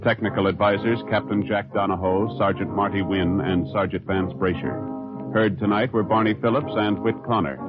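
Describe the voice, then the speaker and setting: A man announcing in a formal, measured, official 1950s radio style from a script. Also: lowish voice. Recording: muffled 1950s radio broadcast, faint music.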